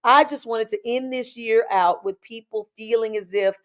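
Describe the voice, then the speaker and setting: Hoarse, chatty woman hosting live. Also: on the high side, fairly even intonation, moderate pace. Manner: chatty, familiar, calls viewers "baby" and "y'all". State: hoarse and raspy from illness. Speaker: spiritual coach hosting a live show. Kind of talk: casual live-stream chat.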